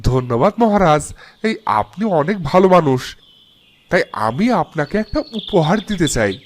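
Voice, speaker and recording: Ingratiating storybook merchant, polite and eager, lively swooping delivery. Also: male voice, on the high side, unhurried. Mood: deferential. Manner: deferential, eager. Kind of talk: Bengali fairy-tale cartoon acting.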